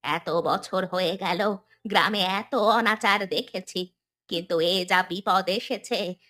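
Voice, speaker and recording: A grave old village healer, acted by a woman in a horror cartoon. She talks slowly and ominously, with a bright, forceful voice that sounds wary and knowing. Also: mid-range voice. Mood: ominous warning. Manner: ominous, deliberate storytelling.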